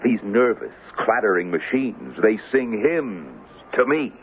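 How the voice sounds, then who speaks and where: A smug grandiose devil, old radio drama, very animated, tinny noisy recording. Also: male voice, fairly low pitch, measured pace. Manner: theatrical, boastful, sly. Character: the Devil as a smug, grandiose, wheedling male hustler. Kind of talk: 1970s radio drama acting.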